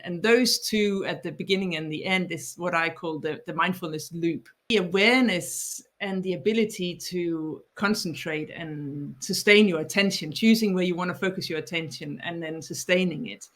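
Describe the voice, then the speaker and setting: A woman's voice: lowish voice, moderate pace, fairly bright tone. Danish-accented woman, thoughtful podcast guest. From Denmark. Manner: thoughtful, frequent restarts and repeated words. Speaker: guest author. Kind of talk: podcast interview.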